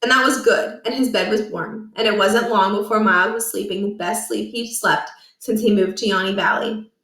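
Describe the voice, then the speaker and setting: A woman's voice: mid-range voice, fairly even intonation, moderate pace, a bit bright, far from the mic. A woman reading aloud as a storyteller, narrating a children's book from the text. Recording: echoey, roomy home recording.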